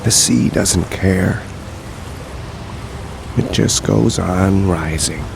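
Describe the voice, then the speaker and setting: A weary, bitter soul delivering an eloquent audio drama monologue. His low voice is gravelly with fry and moves slowly and deliberately. Rainy ambient noise is clearly heard around him. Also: exaggerated voice. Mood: bitter despair. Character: weary, bitter, self-loathing man who threw away success.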